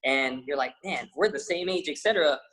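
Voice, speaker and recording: A man's voice: fairly high pitch, a little fast. A young man, a fitness trainer, chatting casually as an interview guest, rambling with lots of fillers and restarts. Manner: says he has a loud voice.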